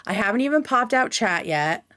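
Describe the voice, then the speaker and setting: A woman's voice: fairly high pitch, big pitch swings, normal speed, sharp tone. A woman chatting casually as a livestream host, with an informal, scattered manner and free swearing.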